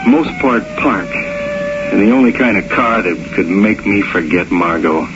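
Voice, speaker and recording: An actor playing a hotheaded, lovesick young combat pilot in a 1970s radio drama, speaking informally and impatiently. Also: male voice, fairly low pitch, huge pitch swings, a little slow, over music. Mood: reminiscing. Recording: muffled, noisy 1970s radio recording.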